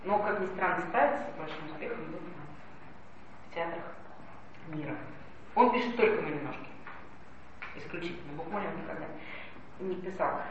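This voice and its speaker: A woman lecturer explains her subject with a slightly low voice, turning to her listeners as she goes. She sounds distant, caught in a strongly echoing hall. The recording is muffled, with steady background noise. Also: fairly quick, long pauses. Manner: explanatory, addresses listeners directly. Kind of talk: spoken lecture on language policy.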